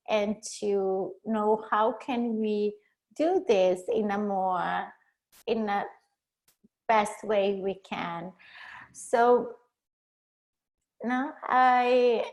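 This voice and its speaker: A woman from Brazil with a Brazilian Portuguese accent, a coach giving a webinar presentation over a video call. She talks haltingly and often restarts words to correct herself. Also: medium pitch, a little mellow, poor audio.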